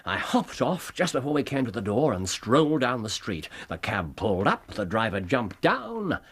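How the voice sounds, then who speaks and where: An actor playing a brilliant, excitable British gentleman detective in a radio drama, wry and theatrical. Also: mid-range voice, normal speed. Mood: amused, self-mocking. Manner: theatrical, crisp storytelling, wry.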